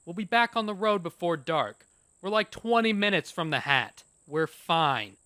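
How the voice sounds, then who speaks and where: A man's voice: high voice, lively intonation, moderate pace, pushed and bright, no echo, clean studio sound. A man playing a defensive, sarcastic, foul-mouthed young rock musician in a horror story, with exasperated, slangy delivery. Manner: profane, exasperated slangy talk. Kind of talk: dramatized horror audiobook dialogue.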